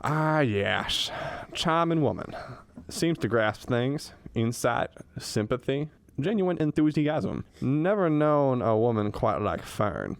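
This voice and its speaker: A man's voice: mid-range voice, smooth, no echo. Man playing a bashful, hesitant bachelor professor. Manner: hesitant, folksy, wry. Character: bashful, absent-minded bachelor professor with a new sweetheart. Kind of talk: old-time radio comedy acting.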